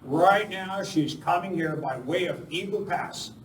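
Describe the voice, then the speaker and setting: A man acting an urgent masked lawman of the old West, declaiming insistently in a radio play. His voice is fairly high, heard from a distance in a roomy space with faint noise. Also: a little slow. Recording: roomy far-off live stage recording with noise.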